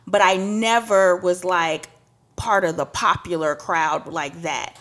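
Young woman from trinidad chatting on a podcast, lowish voice, open and candid. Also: slow pace. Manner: candid storytelling, animated when quoting others. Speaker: podcast co-host. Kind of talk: casual podcast chat.

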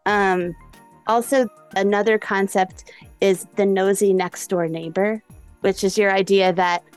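A woman hosting a podcast in an informal, animated way, full of small asides and casual conversational phrasing. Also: medium pitch, unhurried, smooth, soft background music. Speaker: podcast host.

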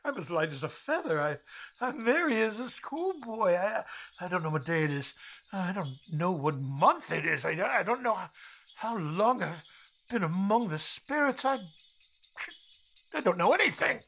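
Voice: mid-range voice, sing-song, moderate pace, vocal fry, fairly bright tone, full character voice. An actor playing an old miser in a radio drama, stammering and exclaiming with great emotion. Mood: giddy joy. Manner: stammering, repeating words, pleading and exclaiming. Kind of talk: theatrical radio drama acting.

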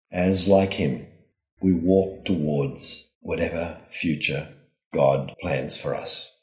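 A man with a very low voice gives a devotional reflection at a slow pace. His delivery is measured and earnest, like a prepared homily, and the sound is noticeably roomy. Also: darkish tone. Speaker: religious speaker giving a gospel reflection. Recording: roomy, echoing voice recording.

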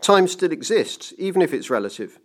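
A man playing an anxious clockmaker, pitched high. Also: very animated, moderate pace. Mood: nervous. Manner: stammering, anxious, careful. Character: timid, pleading male clockmaker placating his dangerous friend. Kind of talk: dramatised audiobook reading.

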